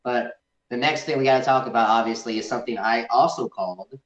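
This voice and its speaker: Male review co-host, informal and enthusiastic, average pitch, roomy and slightly muffled recording. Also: fairly quick, a bit bright. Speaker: podcast host. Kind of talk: casual film review chat. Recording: echoey room, slightly dull sound.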